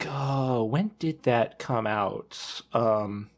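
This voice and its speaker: A man's voice: medium pitch, extremely slow. A man on a podcast panel chatting casually, tentatively thinking aloud as he goes.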